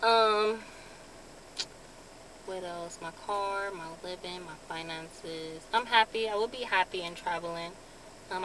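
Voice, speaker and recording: A young woman vlogging from a parked car in a relaxed, casual way, with lots of ums. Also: mid-range voice. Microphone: phone camera on dashboard. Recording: car with clear background noise. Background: vehicle ambience.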